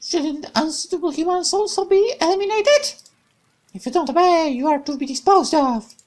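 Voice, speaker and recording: A man voicing a young woman soldier from a war game story, gentle on the surface but ruthless underneath, read in a soft, earnest way. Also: extremely high pitch, moderate pace, pitched above the performer's own voice. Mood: cold. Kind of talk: reading visual novel dialogue aloud.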